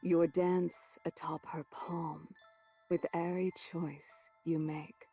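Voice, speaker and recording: Slow, dark, husky prophetic narrator. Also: female voice, lowish voice, level tone, faint music behind. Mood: solemn, foreboding. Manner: grave, archaic, theatrical. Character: solemn, ominous poetic narrator of cruel fate. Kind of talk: recited verse, visual novel prologue.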